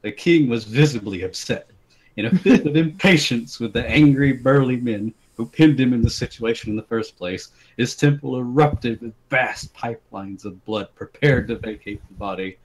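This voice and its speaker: A man's voice: medium pitch, moderate pace, poor audio. A man giving an amused, theatrical dramatic reading of a story to friends. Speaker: reader of a story.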